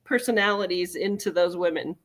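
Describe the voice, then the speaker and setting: A woman's voice: on the low side, a little fast. A woman from Colorado talking casually as an author and book club host. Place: live online video chat.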